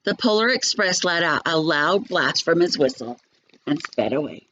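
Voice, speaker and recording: A woman reading a children's storybook aloud like a teacher, in an expressive, measured storytelling manner. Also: deep voice, dull audio, slight background noise.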